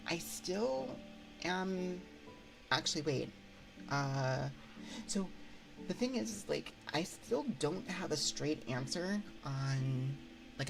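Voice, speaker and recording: A woman livestream host chatting casually on an ASMR stream into a close binaural mic, thinking aloud in a halting, conversational way. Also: sits low, gravelly, low-quality recording. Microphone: close binaural microphone. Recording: background music behind voice. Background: music.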